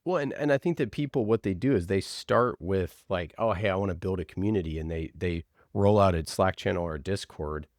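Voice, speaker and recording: A man's voice: deep voice, fairly quick, few pauses, no room sound, studio quality. A man speaking casually as an interview guest, making his points with emphatic, passionate conviction and rhetorical questions. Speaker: podcast producer and guest. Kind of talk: casual conversational interview talk.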